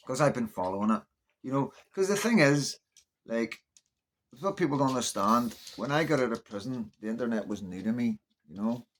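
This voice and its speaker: A man from Northern Ireland with a Northern Irish accent gives casual, unscripted political commentary in an opinionated, conversational way, often checking in with the listener. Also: mid-range voice.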